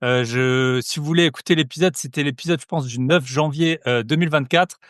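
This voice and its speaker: A young adult man with a sing-song accent hosting a podcast. He talks casually and pitches eagerly, with lots of "euh" fillers. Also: brisk, dry recording, clean studio sound. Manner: chatty, many "euh" fillers, sales-pitch enthusiasm. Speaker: podcast host. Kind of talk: casual podcast monologue and promo.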